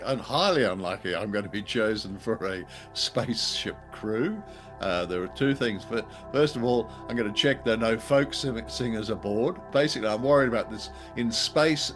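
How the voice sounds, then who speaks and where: A man's voice: lowish voice, fairly bright tone. A man co-hosting a podcast in casual review chat, with a wry, jokey way of talking. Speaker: podcast co-host.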